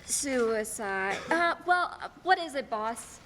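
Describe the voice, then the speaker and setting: A woman's voice: fairly high pitch, animated, slow pace. A young woman playing a naive, sweet heavenly helper who is hesitant and easily flustered in a radio play. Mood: innocent, flustered. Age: young adult. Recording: hall reverb, faint noise, live stage.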